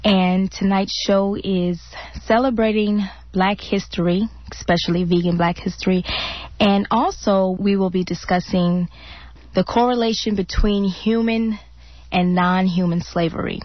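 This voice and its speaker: A woman's voice: mid-range voice, fairly even intonation, a little slow, no echo, dull audio. A woman hosting a radio talk show, friendly and chatty, who laughs easily. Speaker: radio host.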